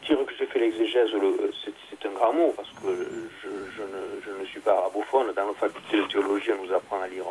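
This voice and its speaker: A man, a theology guest, explaining at length in a halting conversational way, with many fillers and restarts. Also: lowish voice, steady tone, darkish tone, slight background noise, poor audio.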